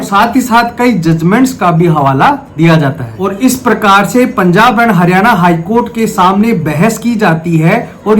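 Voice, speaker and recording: A man's voice: high voice, slight background noise. A man giving a formal, methodical explanatory legal lecture, restating key points as he goes. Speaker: legal explainer, video host.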